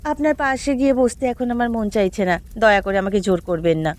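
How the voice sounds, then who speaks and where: A woman's voice: mid-range voice, lively intonation, quick pace, darkish tone, close to an everyday voice. A woman playing a sullen, haughty young daughter-in-law in a Bengali cartoon, curt and disdainful in manner. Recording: background music under dialogue.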